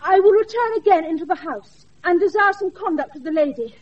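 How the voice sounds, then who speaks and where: A woman's voice: very high voice, lively intonation, brisk. An actress playing an earnest young woman disguised as a page boy in a British radio play, speaking politely in a British accent. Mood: bewildered, anxious. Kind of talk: classical radio play acting. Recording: dull, distant off-air radio recording.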